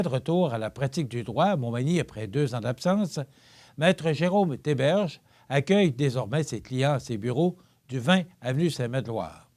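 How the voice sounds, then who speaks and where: Québécois male news anchor, mid-pitched voice, steady measured read, small slips. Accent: Québécois French. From Quebec.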